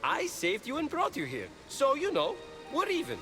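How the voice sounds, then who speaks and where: A man's voice: very high voice, wildly swooping pitch, moderate pace, exaggerated voice. A man playing a playful, casual trickster messenger god in a video game cutscene. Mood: breezy. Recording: loud game music underneath.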